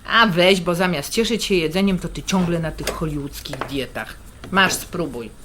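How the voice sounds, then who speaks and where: A woman playing a brisk, teasing, worldly middle-aged sister in a naturalistic radio drama, blunt and bossy. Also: on the low side, natural voice. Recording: clear kitchen noise and clatter. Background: cutlery, stirring, pots and pans.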